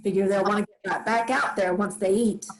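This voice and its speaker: A woman's voice: mid-range voice, fairly even intonation, brisk, lightly acted. A woman reading a wry old farm widow. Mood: dry. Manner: dry, wry, plainspoken rural talk. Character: Grams, weary old farm widow, dry and stubborn. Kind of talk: staged play reading.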